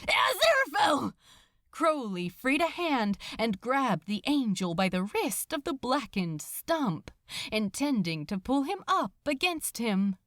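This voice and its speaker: Female fiction narrator, suspenseful and emphatic, very animated melody, dry close sound. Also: on the high side, dry recording. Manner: theatrical, suspenseful, deliberate emphasis. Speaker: podfic narrator. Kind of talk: dramatic scripted story narration.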